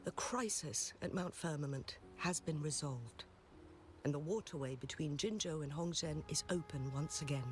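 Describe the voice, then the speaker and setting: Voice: medium pitch, normal speed, faint music behind, lightly acted. An actor voicing a polite, formal town official in a game, thanking and advising a visitor. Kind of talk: game voice acting.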